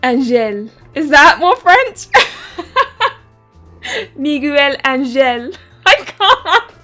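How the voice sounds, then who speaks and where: A woman's voice: high voice, very animated, very slow, pushed and bright. A woman with a southern English accent casually commenting on a game stream and reading its text aloud, laughing often and tripping over names. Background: faint game music.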